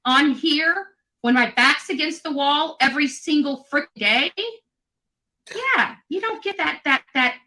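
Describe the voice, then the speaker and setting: Woman livestreamer, high-pitched and tense, worn out, defensive and foul-mouthed, venting at critics. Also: unhurried, bright, forceful tone, poor audio. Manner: combative, profane, defensive. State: tired. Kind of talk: angry unscripted livestream rant.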